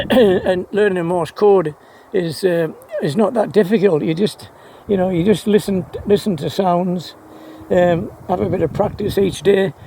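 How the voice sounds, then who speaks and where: A man's voice: high-pitched, creaky, mellow, slight background noise, rough recording. A man rambling casually and enthusiastically about his hobby, often repeating words as he hesitates. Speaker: amateur radio hobbyist vlogger.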